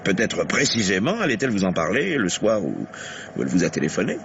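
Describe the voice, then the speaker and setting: Deep-voiced, probing police lieutenant. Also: male voice, huge pitch swings, quick pace, creaky, heavily acted. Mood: deliberate, insistent. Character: probing, methodical male police lieutenant, mature and dogged. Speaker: police investigator. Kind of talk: French radio mystery play acting. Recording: dull, noisy vintage radio recording.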